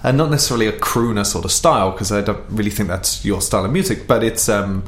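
A man's voice: on the low side, fast talker. A man interviewing a singer, chatty and encouraging, with a light teasing laugh. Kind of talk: casual interview chat. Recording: echoey room with faint music.

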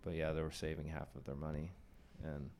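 Deep-voiced young American man chatting. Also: flat delivery, brisk, gravelly, a little mellow. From California, USA. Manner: rambling, restarts often, upbeat. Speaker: conversation guest. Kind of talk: casual chat.

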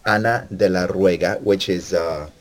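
A man's voice: low-pitched, moderate pace. A man with a Colombian accent, a guest on a casual podcast, telling meandering stories with crude humor.

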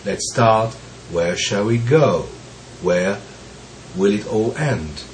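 A man who is recovering from bronchitis, so his voice sounds deeper than usual, gives a slow, reflective teaching talk with frequent pauses and the occasional soft laugh. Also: a little hiss or hum, poor audio. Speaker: Zen teacher.

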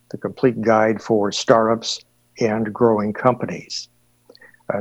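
A man hosting an interview show, introducing his guest in a formal, deliberate way with many uhs. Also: mid-range voice, darkish tone, husky, no room sound. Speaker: radio show host. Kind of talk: hosted interview. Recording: dull, dry, lo-fi audio.

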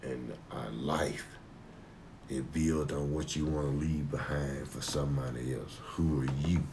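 A man with a deep voice talking emphatically in a heated personal conversation. He swears freely, rambles, and slips into a preaching tone. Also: fairly even intonation, unhurried, creaky, darkish tone, a little hiss or hum.

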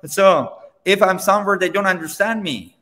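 A man whose first language is Persian, a seminar trainer answering interview questions in halting English with many restarts. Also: high-pitched, big-room sound. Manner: halting, many restarts, non-native grammar, animated when pressed. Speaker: seminar trainer and company founder.

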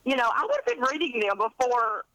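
A woman in her fifties telling stories in a chatty, animated, rambling and self-deprecating way, as an interview guest. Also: on the high side, a little fast, no echo, rough recording. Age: 50s. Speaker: guest author and college English teacher.

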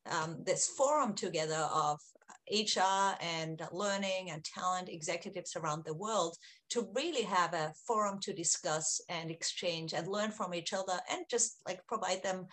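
A woman's voice: mid-range voice, a bit bright. Austrian-accented woman explaining research. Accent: Austrian German. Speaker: research executive guest. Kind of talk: podcast interview.

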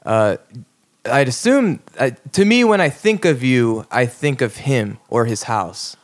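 A young man hosting a casual podcast interview, relaxed and thinking aloud with many pauses. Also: medium pitch. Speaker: podcast host.

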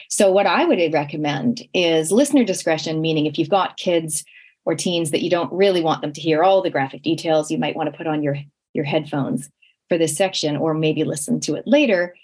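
Woman, Canadian accent, radio host, lowish voice, earnest and chatty. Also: brisk, a little mellow, slightly rough. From New Zealand. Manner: earnest, chatty, with frequent restarts. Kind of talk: radio show hosting and interviewing.

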